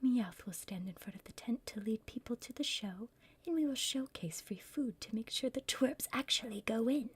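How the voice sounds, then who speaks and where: A woman's voice: on the high side, normal speed. A woman playing a bossy, scheming female villain in a whispered ASMR roleplay, impatient and conspiratorial with her partner. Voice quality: breathy whisper.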